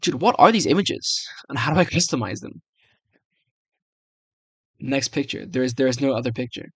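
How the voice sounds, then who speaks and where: A man's voice: medium pitch, animated, normal speed, breathy edge, dry recording. Young man muttering through a casual try-out, dry sound. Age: young adult. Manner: hesitant, rambling, mutters reactions to himself. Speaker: video creator. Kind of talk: casual screen-recorded software try-out commentary. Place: small room indoors.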